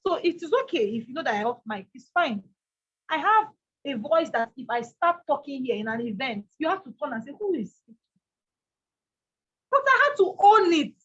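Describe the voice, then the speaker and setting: Nigerian woman with a big loud voice, high and punchy, preaching hustle, kinda muffled lo-fi audio. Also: very animated, moderate pace. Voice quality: loud, strong voice. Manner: emphatic, repeats key lines. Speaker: motivational speaker. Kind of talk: motivational talk.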